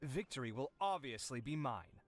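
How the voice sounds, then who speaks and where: Man playing a cocky, animated young scholar. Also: mid-range voice, moderate pace, slight background noise, lightly acted. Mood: confident, chiding. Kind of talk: anime-style video game voice acting.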